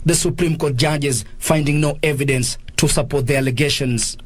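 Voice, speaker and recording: A Kenyan man narrates a scripted news report. His voice sits somewhat high for a male speaker. The recording is tight and dry, with no room around it. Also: fairly even intonation, moderate pace, no echo.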